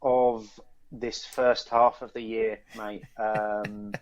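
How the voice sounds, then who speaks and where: A man's voice: on the low side, level tone, takes it slowly. A British man co-hosting a casual podcast, talking hesitantly and often repeating his words. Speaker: podcast co-host. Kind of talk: casual chat. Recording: slightly dull, lo-fi audio.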